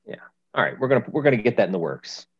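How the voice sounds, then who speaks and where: A man's voice: mid-range voice, sing-song, racing, slightly rough, dry recording, highs cut off. A man co-hosting a podcast, chatting casually and rambling with lots of restarts. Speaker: podcast co-host. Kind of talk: casual chat.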